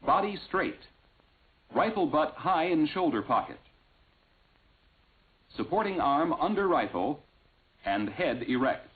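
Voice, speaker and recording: A man reading scripted instructional narration in a measured, clearly enunciated way, on an old film soundtrack. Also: a little high, very animated. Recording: tinny, band-limited vintage film soundtrack.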